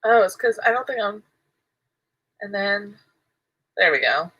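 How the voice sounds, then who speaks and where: A woman's voice: medium pitch, expressive, unhurried, a bit bright, slightly rough, dull audio. A woman chatting casually while she crochets, rambling and giggling, her nose stuffy and nasal from allergies. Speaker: crochet vlogger.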